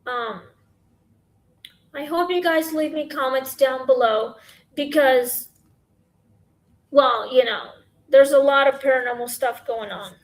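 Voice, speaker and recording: Woman w a high scratchy voice, slow rambly chat into her phone. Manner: rambling, halting, many fillers. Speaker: podcast host. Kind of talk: casual Q&A chat.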